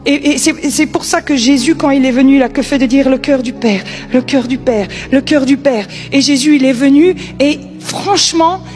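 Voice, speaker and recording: High-voiced woman preaching informally, music behind. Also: moderate pace, few pauses, darkish tone. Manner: conversational, emphatic, repeats key phrases. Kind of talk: informal sermon.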